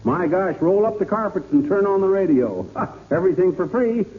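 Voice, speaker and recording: A stubborn, bumbling American husband in a 1940s radio comedy. His voice is fairly high and very animated, full of folksy bluster and slang. The old recording is narrow and muffled, with faint hiss. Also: moderate pace. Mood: folksy, jovial.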